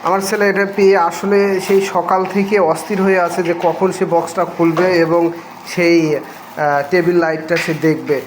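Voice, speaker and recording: Higher-voiced Bangladeshi man, noisy recording. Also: flat delivery, normal speed. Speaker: vlogger unboxing a product. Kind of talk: casual unscripted vlog narration. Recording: noisy home video audio.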